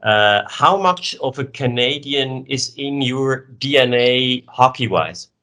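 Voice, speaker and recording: A man puts questions in a sports interview. His voice is bright and crisp-edged at a middle pitch, and his delivery is conversational. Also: expressive, slow pace. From Switzerland.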